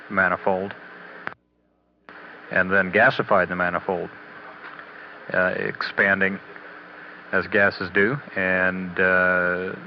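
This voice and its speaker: A man giving a halting, matter-of-fact technical status briefing as a spaceflight mission commentator, on old radio audio. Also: sits low. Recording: dull, noisy 1980s mission radio audio.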